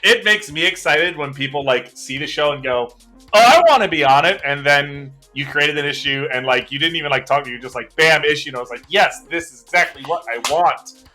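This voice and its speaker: A man hosting a casual work video show, excitable and quick to laugh as he chats with a guest. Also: on the high side, soft background music. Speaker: host.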